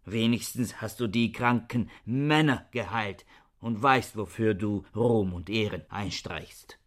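A man's voice: on the low side, slow, a bit bright. An actor playing a bitter, misanthropic scholar in a radio drama, harsh and lecturing in his contempt. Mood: bitter accusing. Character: bitter misanthropic male scholar, scornful older brother. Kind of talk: German radio drama acting.

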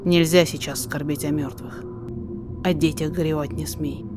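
A woman voicing a calm, commanding male fox sorcerer, measured and reassuring, in a verse audio story. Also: on the high side, natural voice. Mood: stern. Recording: music behind the voice.

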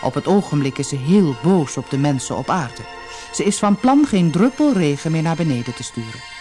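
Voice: mid-range voice, very animated, warm tone, faint music behind, close to an everyday voice. A narrator voicing a thin male thunder spirit who speaks formally, solemnly and courteously in a fairy tale audiobook. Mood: courteous, explaining. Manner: formal, measured announcements.

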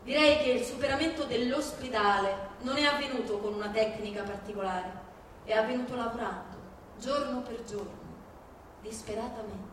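A woman's voice: fairly high pitch, moderate pace, slight background noise. A woman giving a theatrical scripted narration as a stage reading set to music. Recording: echoey hall from far off, music behind.

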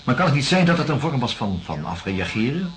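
An actor playing a patient, cautious, kindly doctor, speaking in a measured, slightly hesitant way in a radio drama. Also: male voice, medium pitch, big pitch swings, soft background music, close to an everyday voice. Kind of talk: naturalistic radio drama dialogue.

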